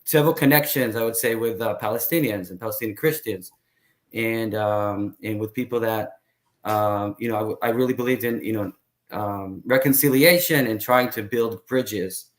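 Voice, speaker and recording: Hesitant man with low voice. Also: moderate pace. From Israel. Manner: hesitant, many fillers. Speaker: guest, tour guide. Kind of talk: casual interview talk.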